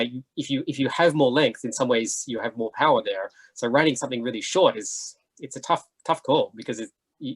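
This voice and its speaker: A man talks very quickly in a slightly husky voice. He is a chatty panelist who thinks out loud, dropping in frequent asides as he explains his views in a casual discussion. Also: medium pitch. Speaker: panelist, writer.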